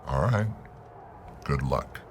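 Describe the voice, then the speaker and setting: A man playing a businesslike wrestling general manager, measured, official and unyielding. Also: a bass voice, expressive, slight background noise, heavily acted. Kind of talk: scripted game cutscene dialogue.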